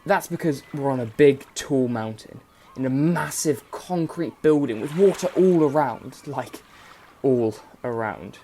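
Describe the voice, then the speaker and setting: A man's voice: medium pitch, lively intonation, a little slow, hardly any pauses, dark tone, a little hiss or hum. A man playing an irreverent, jokey narrator of a dystopian radio play, talking colloquially and wryly to listeners. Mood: wry, chatty. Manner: colloquial, wry. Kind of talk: chatty storytelling addressed to listeners.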